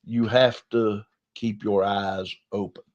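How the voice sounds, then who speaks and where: A man's voice: on the low side, little pitch movement, takes it slowly, darkish tone. A man with a Southern US accent hosting a wrestling podcast, chatting and recapping matches in a folksy, colloquial storytelling way. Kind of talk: casual wrestling recap chat. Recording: dull, lo-fi podcast audio.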